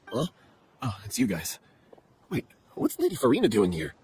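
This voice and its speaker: Game role: remorseful, timid troupe man, earnest delivery with wide pitch movement, some background noise. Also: wildly swooping pitch, normal speed, a little mellow. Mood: uneasy. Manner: earnest, hesitant confessing. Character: guilt-ridden, cowardly male theatre troupe member. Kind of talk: dramatic video game acting.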